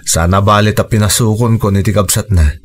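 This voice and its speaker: Low-voiced radio drama father played by a man. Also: steady tone, fairly quick, close to an everyday voice. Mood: explaining, reassuring. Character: firm, reassuring middle-aged father of two children. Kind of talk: Ilocano radio drama acting.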